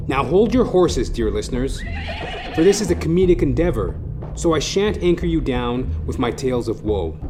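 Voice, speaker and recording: Theatrical male comic narrator over music. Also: mid-range voice. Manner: florid, mock-grandiose, crude. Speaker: comedy podcast host and narrator. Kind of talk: theatrical scripted comic monologue. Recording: music bed under the voice.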